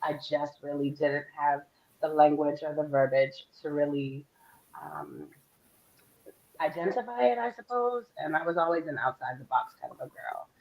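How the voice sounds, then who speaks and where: A woman's voice: deep voice, moderate pace, creaky, rough recording. A woman in her forties from Philadelphia, a guest talking openly and chattily about herself on a panel. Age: 40s. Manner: open, candid, chatty with soft laughs. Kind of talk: casual panel chat.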